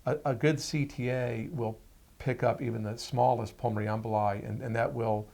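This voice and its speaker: Gravelly-voiced man explaining as an expert guest. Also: mid-range voice, steady tone, moderate pace, a little mellow. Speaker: radiologist, interview guest. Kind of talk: explanatory medical interview.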